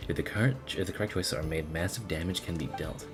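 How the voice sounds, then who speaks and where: A man's voice: sits low, fast. A man streaming a game, casually commenting and reading game text aloud in a profane, reactive manner. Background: game music.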